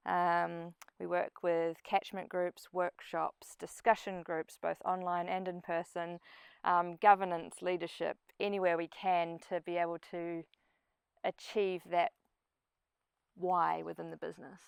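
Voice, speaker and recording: Clear, smooth-voiced New Zealand woman, lowish pitch. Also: little pitch movement, measured pace, dry recording. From New Zealand and Papua New Guinea. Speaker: speaker at a community event, farm sustainability coach. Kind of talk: informal short personal talk.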